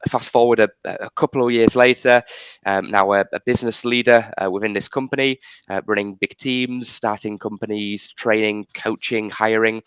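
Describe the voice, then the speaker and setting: A young man in his twenties with a light Yorkshire accent talks about himself on a podcast. His voice sits on the low side and sounds dry and close, with no room around it. He speaks with confidence but keeps restarting his sentences and doubling up his words. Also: fairly bright tone, clear, resonant tone, no room sound, low-quality recording. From Yorkshire, England. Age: 20s. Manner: many restarts and repeated words, brisk and self-assured. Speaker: podcast guest. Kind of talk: casual interview talk.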